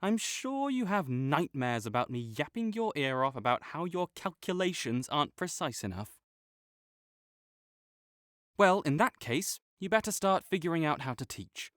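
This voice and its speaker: A man's voice: a little high, a bit bright, no room sound, studio quality. A young man voicing a competitive male student rival who teases and bosses, then turns flustered and defensive. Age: young adult. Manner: teasing and bossy, gets flustered and defensive. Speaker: voice actor in an audio roleplay. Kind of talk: audio roleplay acting.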